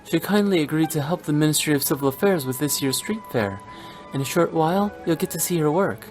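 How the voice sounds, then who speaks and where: Casting: poised female game official, low-pitched, gracious and courteous, faint music underneath. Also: expressive, a little fast, darkish tone. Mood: friendly, busy. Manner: composed, courteous. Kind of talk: animated game dialogue.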